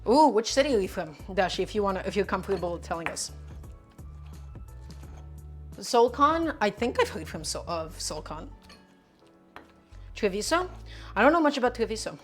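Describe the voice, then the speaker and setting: A woman's voice: medium pitch, big pitch swings, normal speed, pauses often, faint music behind. Upbeat woman streaming a cooking session. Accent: her own distinct accent, not Brooklyn. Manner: upbeat, talks chat through each step, says "guys" and "okay" often. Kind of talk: live cooking stream, chatting with viewers.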